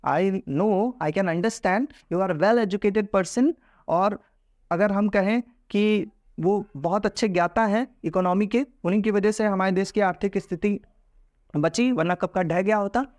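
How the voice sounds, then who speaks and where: A man's voice: high-pitched, a little slow, a little mellow, no room sound, clean studio sound. A man with an Indian accent teaching English pronunciation, talking emphatically, asking rhetorical questions and switching between Hindi and English. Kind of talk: classroom lesson mixing Hindi and English.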